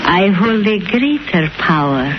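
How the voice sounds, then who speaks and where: An actress playing a proud, fearless villainous dancer, haughty and formal, in an old radio drama. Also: female voice, fairly low pitch, big pitch swings, measured pace. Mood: defiant, threatening. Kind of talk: 1940s radio drama acting. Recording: dull, noisy old radio recording.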